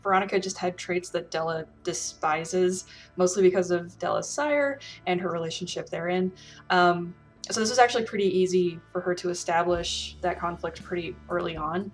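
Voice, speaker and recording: A woman with a slightly low voice speaks as a guest. Her delivery is casual and reflective, like someone recounting things in conversation. Faint music sits under her voice. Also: normal speed. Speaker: guest game developer and roleplayer. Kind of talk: casual spoken account of a game.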